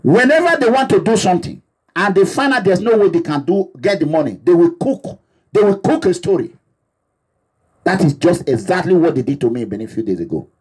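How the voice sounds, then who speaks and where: Nigerian man, activist leader, husky voice, swooping high pitch, preachy and emphatic. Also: lively intonation, a little fast, darkish tone, rough recording. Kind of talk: impassioned address to supporters. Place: abroad, away from home.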